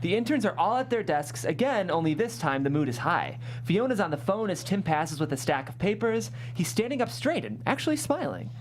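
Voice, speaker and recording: Low-voiced woman reading stage directions. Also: very animated, brisk, hardly any pauses. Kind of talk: scripted narration at a comedy table read. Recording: faint room noise and hum.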